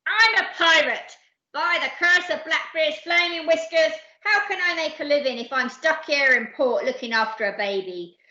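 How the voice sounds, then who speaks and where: A woman voicing a gruff, self-important male pirate captain in a storybook, roaring and grumbling in a West Country pirate accent. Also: high-pitched, normal speed, pushed and bright, voice pushed up. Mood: aggrieved, howling. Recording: echoey room, slightly dull sound.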